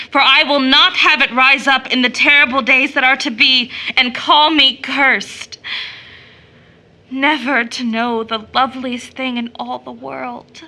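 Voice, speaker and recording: An actress playing an anguished, bitterly defiant young woman in an audio drama, with impassioned, halting theatrical delivery. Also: sits high, full character voice. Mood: fierce oath. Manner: impassioned, halting, swinging from hushed to fierce.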